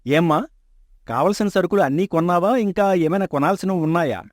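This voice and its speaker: A man plays a wealthy older merchant who treats his workers and his daughter with easy fatherly warmth. His voice sits a touch high for a man and is recorded dry and close, with no room sound. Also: fairly quick, dry recording, close to an everyday voice. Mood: teasing. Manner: calm, fatherly and assured. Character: rich older merchant father, kindly and teasing. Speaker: shop owner. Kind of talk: animated storybook dub. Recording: dry close studio mic.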